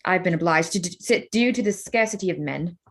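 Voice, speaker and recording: An actress playing a witty, proud young gentlewoman with an arch, spirited manner in a period staged reading. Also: lowish voice, fairly quick. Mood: wry.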